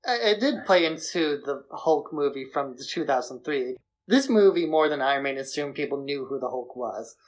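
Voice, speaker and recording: A man's voice: fairly high pitch, animated, normal speed, hardly any pauses, poor audio. A man co-hosting a podcast, talking casually about films. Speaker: podcast co-host. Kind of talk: casual film discussion.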